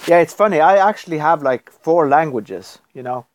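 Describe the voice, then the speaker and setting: A man from Norway, chatting casually on a live stream at his computer, rambling and chuckling often. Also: on the high side. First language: Norwegian. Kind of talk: casual livestream chat.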